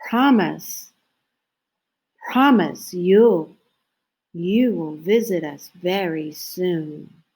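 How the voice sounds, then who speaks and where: A woman's voice: on the low side, extremely slow, long pauses, a little mellow, husky, full character voice. A woman voicing a wistful, dreamy owl in a storybook, with a sighing manner.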